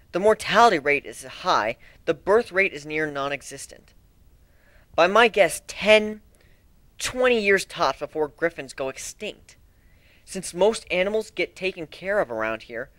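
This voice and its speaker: A man's voice: high voice, fairly bright tone, dry recording. A man giving an amateur dramatic reading of a fan fiction story in a theatrical manner. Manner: theatrical, acts out dialogue.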